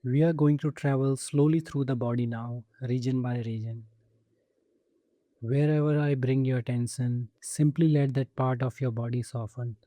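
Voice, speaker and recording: Very dark, soft-toned man guiding meditation. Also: medium pitch, fairly even intonation, no room sound. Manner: soothing, gentle instruction with measured pauses. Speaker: meditation guide. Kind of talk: guided body scan meditation.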